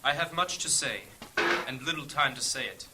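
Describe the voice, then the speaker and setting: A man as a solemn, commanding high churchman. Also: lively intonation, loud music behind the voice, natural voice, rough recording. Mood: grave. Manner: grave, formal, measured. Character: solemn high-ranking male church leader, commanding and urgent. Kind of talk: fantasy audio drama acting. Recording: clear background ambience noise.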